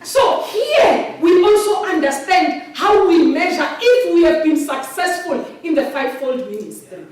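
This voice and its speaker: A South African woman pastor preaching a sermon in an animated, sing-song way. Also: very high-pitched, a little slow, few pauses. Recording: very echoey, distant mic.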